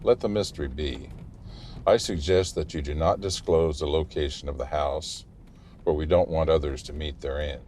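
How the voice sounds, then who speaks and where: A man playing a grave, cautious small-town guide in an audio drama, speaking in a formal, measured way. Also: a bass voice, steady tone, a little mellow, slight background noise. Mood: grave, warning.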